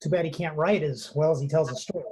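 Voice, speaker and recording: A man's voice: a little high, fast talker, dark tone, lightly acted. A man playing a skeptical TV writer in a screenplay table read, with a dry, offhand, dismissive manner.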